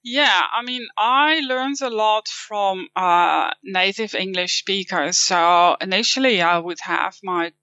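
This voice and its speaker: Woman with a Dutch accent, bright tone. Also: medium pitch, takes it slowly, hardly any pauses, clean tone, no room sound, highs cut off. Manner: thoughtful, with frequent restarts and light laughs. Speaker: guest, copywriting teacher. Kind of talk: casual interview chat.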